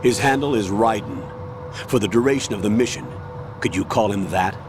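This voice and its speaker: An actor playing a stern, authoritative older military colonel in a video game, commanding, measured and formal as he gives a mission briefing. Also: on the low side, over music, lightly acted. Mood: formal, guarded.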